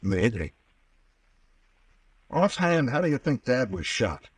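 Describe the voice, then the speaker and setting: A skeptical captain of police in a vintage radio mystery. His middle-pitched voice moves up and down freely as he questions and teases with dry, unflappable wit. The old recording sets him slightly back from the mic. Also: sing-song, slow pace, husky, dry recording, far from the mic, heavily acted. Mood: puzzled, sardonic. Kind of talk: old-time radio drama acting.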